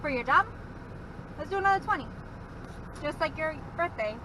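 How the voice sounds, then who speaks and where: A woman with a high, bright voice banters casually from the passenger seat, teasing and quick to laugh. Clear car and road noise sits behind her. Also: lively intonation. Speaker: vlog co-host.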